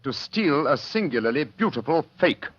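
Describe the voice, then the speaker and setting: An actor playing a composed, methodical gentleman detective in an old radio mystery, speaking formally and with deliberate authority. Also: a little high, big pitch swings, breathy edge, dry recording. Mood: calm, reasoning. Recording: dull, muffled old radio recording.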